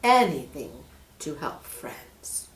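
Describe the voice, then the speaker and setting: A woman voicing a sly, menacing male snake in a storybook, falsely caring, with a hushed, breathy hiss and drawn-out delivery. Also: deep voice, big pitch swings, roomy sound, distant mic, full character voice. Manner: slow, drawn-out, sinister delivery.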